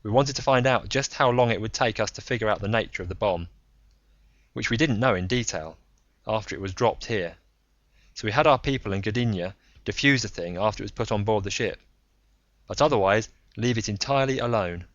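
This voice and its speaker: A man voicing a calm, candid male agent in an audiobook story, measured and explanatory. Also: fairly low pitch, no room sound, muffled recording, close to an everyday voice.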